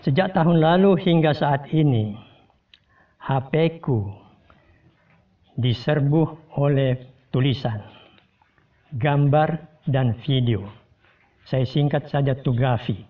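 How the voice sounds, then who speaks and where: A man delivering a formal, measured scripted commentary to his viewers. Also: mid-range voice. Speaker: host giving a commentary. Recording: distant tinny phone-like sound.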